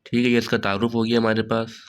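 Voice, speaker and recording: Hoarse guy tutoring from a study guide, low flat voice, laid back. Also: quick pace. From Pakistan. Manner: relaxed, repeats "theek hai" as filler. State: hoarse, sore throat. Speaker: online tutor. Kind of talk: casual study-guide walkthrough.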